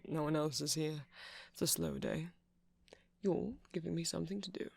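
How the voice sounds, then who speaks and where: A man playing a nerdy, gentle vampire shop clerk in an ASMR roleplay, rambling in a hesitant, softly polite, apologetic way. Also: a little high, very animated, normal speed, creaky, no room sound, heavily acted. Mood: apologetic, fond.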